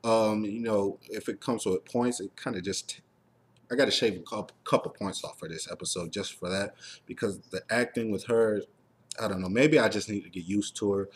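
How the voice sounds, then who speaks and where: A man with a low, rough-edged voice hosts a casual review. He rambles loosely, dropping "like" and "you know" into his points as he goes. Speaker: host of a TV review show.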